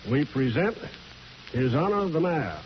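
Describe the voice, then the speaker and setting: A man giving a formal 1940s radio introduction as announcer, earnest and measured. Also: a little high, big pitch swings, slight background noise. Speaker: announcer and chairman. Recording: muffled 1940s radio recording. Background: music.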